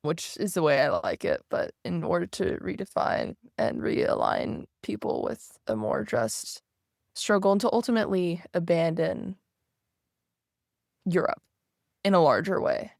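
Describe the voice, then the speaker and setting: A podcast guest telling a personal story in a thoughtful, halting way, full of fillers and restarts. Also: mid-range voice, sing-song, creaky, no room sound, studio quality.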